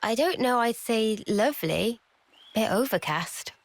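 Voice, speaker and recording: A woman's voice: fairly high pitch, lively intonation, unhurried, no room sound. A woman with a British accent playing a keen, earnest young female soldier in a video game cutscene. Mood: casual, puzzled.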